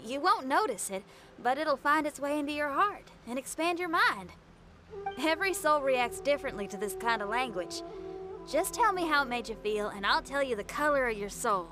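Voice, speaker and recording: A woman's voice: high-pitched, animated, normal speed, music in the background. A woman voicing a confident, friendly young rock musician in a video game, with a folksy, assured way of talking. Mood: assured, inviting. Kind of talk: video game character acting.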